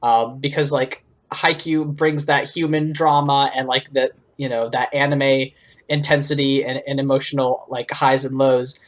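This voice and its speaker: A man's voice: mid-range voice, level tone, a little slow. Earnest, rambling man on a podcast. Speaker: podcast co-host. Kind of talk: casual chat, fan review.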